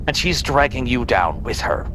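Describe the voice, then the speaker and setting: A man's voice: normal speed, lightly acted. Man acting a sneering, tyrannical starship admiral. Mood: angry contempt. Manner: condescending, domineering, ranting. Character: sneering, tyrannical, bigoted Starfleet admiral, cold and contemptuous. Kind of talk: sci-fi audio drama acting. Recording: steady background rumble.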